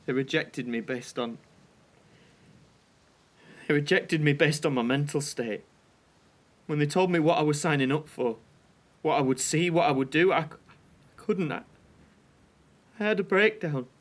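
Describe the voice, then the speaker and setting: An actor playing a strained young wartime husband in an audio drama, defensive and hesitant, with a Northern English accent. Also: male voice, fairly high pitch, moderate pace, long pauses, natural voice. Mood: ashamed, halting.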